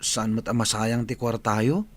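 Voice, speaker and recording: A man's voice: mid-range voice, fairly even intonation, a little slow, lightly acted. A man playing an earnest, dreamy teenage schoolboy in a village comedy radio drama. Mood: earnest, determined.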